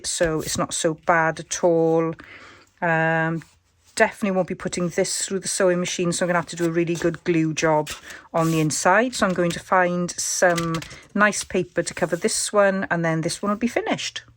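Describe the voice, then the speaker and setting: A woman with a croaky voice, thinking aloud as she demonstrates a casual craft tutorial. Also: fairly low pitch, steady tone, normal speed, slight background noise. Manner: chatty, thinks aloud while working. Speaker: crafter demonstrating junk journal covers.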